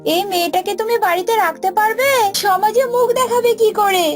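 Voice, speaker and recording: A woman voicing a spiteful, scandalized village neighbour in a cartoon, shrill and accusing. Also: normal speed. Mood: taunting, accusing. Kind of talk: cartoon dialogue acting. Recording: children's music behind voice.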